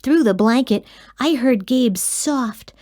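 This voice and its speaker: A woman narrating a children's storybook in an expressive read-aloud style. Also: fairly high pitch, slow pace, dry recording, studio quality.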